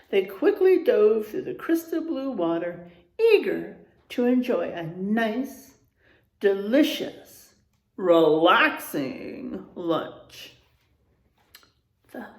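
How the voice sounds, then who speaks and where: A woman teacher reading to young children in an expressive way, pausing for explanatory asides and checking in with her listeners. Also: medium pitch. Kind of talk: animated children's read-aloud with explanatory asides. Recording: echoey room sound.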